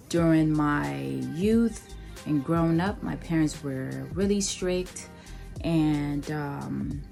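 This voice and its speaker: Woman in her 30s from Nigeria, low voice, unhurried, soft music underneath; vlog self-intro. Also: creaky. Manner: slow and deliberate, many ums and pauses. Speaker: vlogger. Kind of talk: casual personal introduction to camera.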